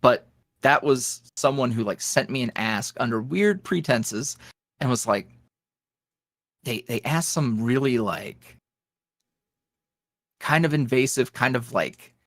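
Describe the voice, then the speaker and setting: Animated, rambling man telling stories. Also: mid-range voice, slow, pauses often, no echo, clean studio sound. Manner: rambling, animated storytelling with frequent laughs. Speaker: ASMR roleplay creator, podcast guest. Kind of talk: casual interview chat.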